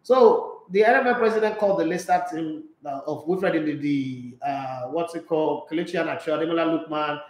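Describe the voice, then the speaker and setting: A middle-aged Nigerian man hosting a sports radio talk show, animated and emphatic, mixing pidgin into his English. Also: fairly high pitch, a little fast, hardly any pauses. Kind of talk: live sports talk show, reading viewer comments. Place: small radio studio. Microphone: studio microphone with headphones. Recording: echoey studio room.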